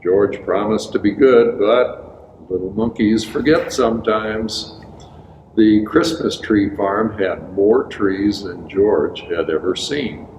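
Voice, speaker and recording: Echoey reverberant room, low male voice, unhurried pace, expressive children's storybook reading, emphatic phrasing. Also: slight background noise. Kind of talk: expressive storybook read-aloud with asides to children.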